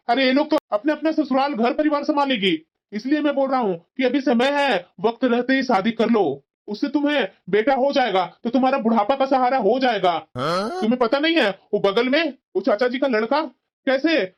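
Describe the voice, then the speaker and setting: A man voicing a meddling, callous friend who persuades insistently and familiarly in a cartoon moral drama, on a muffled recording. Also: very high-pitched. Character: meddling, pushy male friend giving callous advice. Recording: dull, muffled lo-fi sound.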